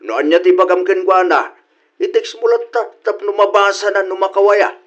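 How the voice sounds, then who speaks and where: A man's voice: sits high, normal speed, fairly bright tone, highs cut off. A man playing an easygoing, teasing older husband and father in a comedy radio drama, with an Ilocano accent.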